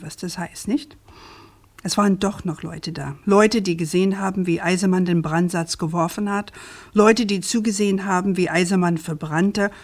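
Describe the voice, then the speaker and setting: A woman's voice: medium pitch, lightly acted. A woman playing a persistent, indignant journalist in a radio play, speaking articulately and with accusatory insistence. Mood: firm, pointed. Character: persistent, indignant female journalist confronting a prosecutor.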